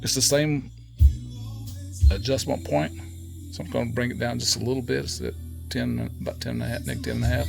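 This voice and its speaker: Gravelly-voiced man giving a technical walkthrough. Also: mid-range voice. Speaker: presenter demonstrating equipment calibration. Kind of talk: casual hands-on technical tutorial.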